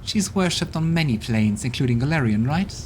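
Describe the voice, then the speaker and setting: A man's voice: mid-range voice, expressive, fairly quick, a little mellow, a little hiss or hum, natural voice. A man voicing a proud, scornful female demon ruler from a role-playing game, speaking in a smug and venomous way. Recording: game music playing behind.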